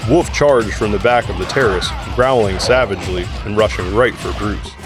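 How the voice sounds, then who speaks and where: A man's voice: lowish voice, moderate pace. A man reading scripted narration for an audio drama. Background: music.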